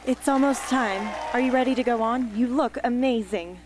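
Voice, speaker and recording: A woman playing a gentle, encouraging stylist in a radio drama, speaking softly and reassuringly. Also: a little high, moderate pace, a loud crowd, very noisy, lightly acted. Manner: soft, reassuring.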